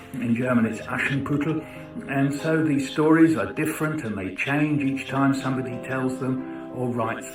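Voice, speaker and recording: Male lecturer, conversational and questioning, mid-pitched, recorded at a distance with music behind. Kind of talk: informal educational talk with rhetorical questions. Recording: roomy, distant mic with music.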